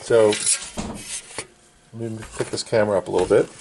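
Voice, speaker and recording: Middle-aged male restorer, slightly low voice, casual plain-spoken bench demo, faintly noisy camera sound. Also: fairly even intonation, normal speed, a little mellow. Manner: plain, short repetitive explanatory phrases. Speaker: vintage radio restorer and presenter. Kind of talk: casual hands-on repair demonstration. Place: indoor workbench.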